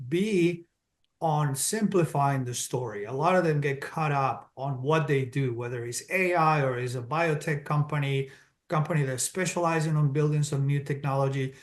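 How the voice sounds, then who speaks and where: A middle-aged man with a Colombian accent and a fairly high voice, talking as a podcast guest. He is steady and businesslike, and he walks through his points one by one. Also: moderate pace. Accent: Colombian Spanish. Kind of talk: interview answers, explaining step by step.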